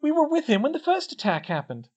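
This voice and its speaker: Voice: medium pitch, very animated, moderate pace, a little mellow, muffled recording, pitched above the performer's own voice, close to an everyday voice. A reader voicing an earnest, insistent young girl student who speaks emphatically. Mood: panicked. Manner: emphatic, didactic, stammers when upset.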